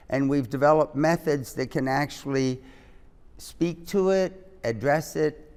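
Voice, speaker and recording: A man playing an earnest expert witness, giving measured, explanatory testimony in a courtroom dramatization. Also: mid-range voice, vocal fry. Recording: reverberant hall.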